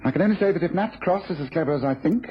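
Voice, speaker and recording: An actor playing a shrewd, self-assured English detective in a British radio drama, speaking briskly, precisely and knowingly. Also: male voice, a little high, expressive, lightly acted. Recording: dull old radio recording, faint noise.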